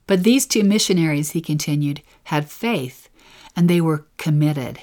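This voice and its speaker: A woman with a slightly low voice co-teaches a devotional scripture lesson. Her delivery is gentle and earnest, and she takes her time over the phrases she wants to stress. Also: moderate pace.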